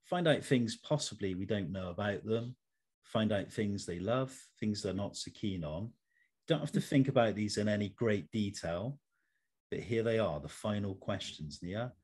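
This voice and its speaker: Male interview host, lower register. Measured, formal phrasing, prepared questions. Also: lively intonation, darkish tone, breathy edge.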